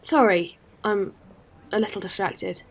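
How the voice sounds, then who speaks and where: A woman playing a caring, earnest space traveler in an audio drama, speaking with gentle hesitancy. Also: mid-range voice, unhurried, natural voice. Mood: worried.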